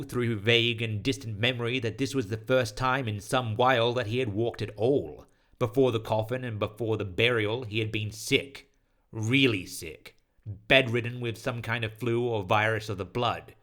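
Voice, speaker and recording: A man narrating a scripted horror story in a solemn, deliberate, literary manner. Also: mid-range voice, a bit bright.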